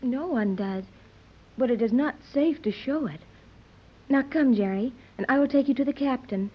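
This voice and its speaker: A woman's voice: high-pitched, normal speed, breathy edge. An actress playing an earnest, literal-minded young island woman who speaks formally, in 1930s radio serial style. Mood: earnest curiosity. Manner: formal, careful diction without contractions. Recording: dull old 1930s radio recording.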